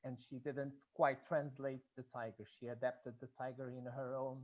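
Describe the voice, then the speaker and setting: A Portuguese-accented speaker, native in Portuguese, talks in a hesitant, halting way during an informal online discussion. The pitch sits in a middle range, and the call audio is muffled and lo-fi. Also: little pitch movement. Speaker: audience member, student researching Blake and music.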